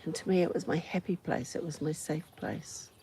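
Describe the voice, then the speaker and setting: A New Zealand woman talks in a low voice with plenty of gravelly fry. She muses without a script, in short, unhurried phrases. She is outdoors, with faint buzzing of flies and farm ambience behind her. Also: dark tone, slight background noise. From Auckland, New Zealand. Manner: musing, unhurried, pausing between short phrases. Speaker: host of a personal ramble vlog. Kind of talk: unscripted reflective outdoor ramble. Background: flies, insects, farm animals.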